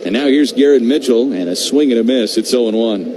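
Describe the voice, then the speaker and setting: A man doing live radio baseball play-by-play, conversational between pitches and excitable on the big calls. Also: a little fast. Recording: noisy live radio broadcast feed.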